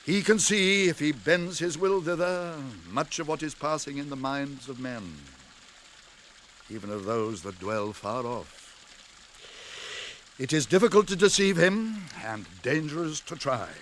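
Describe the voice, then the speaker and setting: An actor playing a wise, stern old wizard in British fantasy radio drama, with measured, weighty, archaic speech. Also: male voice, a little high, lively intonation, fairly bright tone, slight background noise. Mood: kindly, cautioning.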